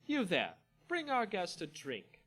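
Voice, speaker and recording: A man's voice: high-pitched, huge pitch swings, a little slow, fairly bright tone, faint music behind, voice pushed up, heavily acted. A man voicing a gleeful, imperious female vampire hostess with a theatrical, gushing and conspiratorial manner in a dramatic story reading.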